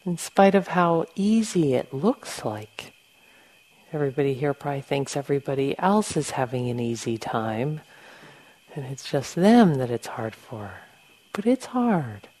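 A woman meditation teacher leading a guided meditation in a gentle, unhurried manner with long pauses. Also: very deep voice, expressive, poor audio. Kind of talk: guided meditation, softly instructing.

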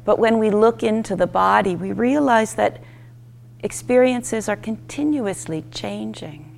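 Woman, meditation teacher, gentle spaced instruction, very slow pace, creaky voice, guided meditation. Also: medium pitch. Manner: unhurried, gentle instruction with short spaced phrases.

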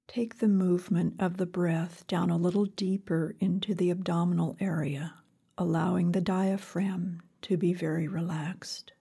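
Soft, breathy, very slow woman guiding meditation. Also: fairly low pitch. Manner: calm, gentle, unhurried with long pauses. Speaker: meditation teacher. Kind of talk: guided body scan meditation.

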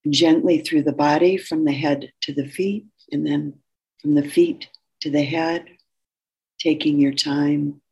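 Slow, husky woman guiding meditation. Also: low voice, fairly even intonation, lo-fi sound. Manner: gentle, unhurried, with long pauses. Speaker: meditation teacher. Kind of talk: guided meditation instruction.

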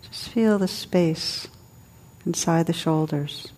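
A woman leading a guided meditation as a teacher, soothing and unhurried, speaking in short phrases with long pauses. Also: low voice, darkish tone. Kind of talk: guided meditation, spoken to a group.

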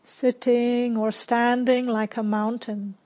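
Female meditation guide, fairly high voice, very slow delivery, calm gentle instruction with spacious pauses. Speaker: meditation teacher.

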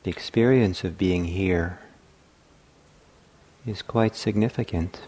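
A man leading a guided meditation as a teacher, speaking gently and unhurriedly in short phrases with long pauses. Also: sits low, breathy edge.

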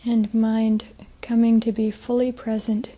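A woman leading a guided meditation as a teacher, speaking gently in a soft, breathy, hushed voice with long pauses. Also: a little high, level tone, highs cut off.

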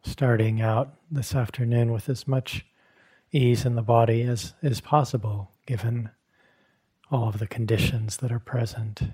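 A man leading a guided meditation as a teacher, speaking gently in short phrases with long, unhurried pauses and a soft, husky tone. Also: medium pitch, steady tone. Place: meditation hall at a retreat.

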